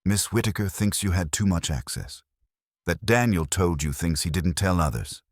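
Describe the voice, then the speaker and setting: A man's voice: deep voice, normal speed, no echo, pitched above the performer's own voice. A man voicing a wry, persistent private investigator in a detective audiobook, dry, probing and unruffled. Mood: dry, pressing. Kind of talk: detective audiobook character dialogue.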